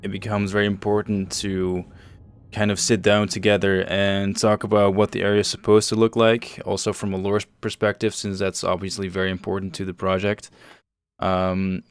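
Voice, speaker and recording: A man hosting a developer livestream, explaining things casually in a measured, halting way. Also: low-pitched, smooth, no room sound, studio quality. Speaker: host and project lead on a developer livestream. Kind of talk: casual show-and-tell commentary over game screenshots. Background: faint music.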